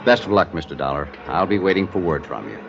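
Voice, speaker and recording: A man's voice: low-pitched, animated, quick pace, gravelly, music in the background. An actor playing a worried middle-aged male businessman in a 1950s radio drama, earnest and hesitant. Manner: earnest, hesitant, stammers when unsure. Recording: dull old radio transcription with hiss.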